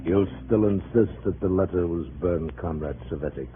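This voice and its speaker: An actor playing a cold Communist interrogator, speaking in a measured, formal, insinuating way in 1950s radio style. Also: male voice, low-pitched, lightly acted. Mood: coldly suspicious. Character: cold, menacing Communist Party interrogator, male, mature. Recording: muffled vintage radio recording, faint noise.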